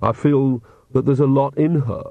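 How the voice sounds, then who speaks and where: A man's voice: mid-range voice, fairly even intonation, normal speed, no room sound, narrow, tinny audio, lightly acted. Man as a cool young vampire man. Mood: guarded. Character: young male vampire, cool, restrained son.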